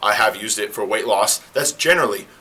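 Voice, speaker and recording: A man who is a fitness coach, talking casually to the camera in a rambling, self-correcting way. He sounds slightly hoarse. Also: lowish voice, fairly quick, very noisy. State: slightly hoarse, clearing throat. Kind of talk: casual explainer talk to camera.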